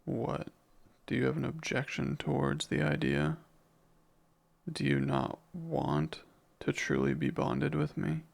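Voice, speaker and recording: A man playing a jealous, devoted demon in an intimate ASMR romance roleplay, speaking ardently and deliberately in a breathy, gravelly voice. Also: medium pitch, big pitch swings, full character voice. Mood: insistent, coaxing. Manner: slow, deliberate, ardent, possessive. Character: jealous, devoted male demon confessing love.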